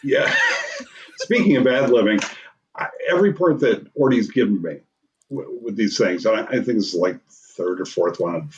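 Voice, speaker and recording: A man's voice: fairly low pitch, animated, normal speed, distant mic, highs cut off, a little hiss or hum. A man who works as a voice actor, chatting casually and telling anecdotes in a group conversation.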